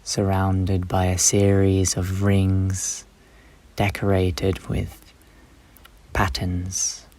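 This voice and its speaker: A man leading a guided meditation, speaking unhurriedly in short instructive phrases with long pauses between them. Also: low-pitched, completely flat delivery, darkish tone.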